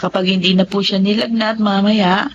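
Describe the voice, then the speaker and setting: A woman as a calm lady doctor, muffled audio. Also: medium pitch, normal speed, natural voice. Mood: calm, reassuring. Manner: explanatory, reassuring. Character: calm, reassuring female pediatrician. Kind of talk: Filipino radio drama acting. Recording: dull lo-fi audio, faint noise.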